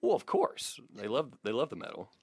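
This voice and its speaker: A man's voice: medium pitch, huge pitch swings, fairly quick, gravelly, slightly rough, no room sound, clean studio sound. A man co-hosting a comedy podcast in casual, joking banter. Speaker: podcast co-host.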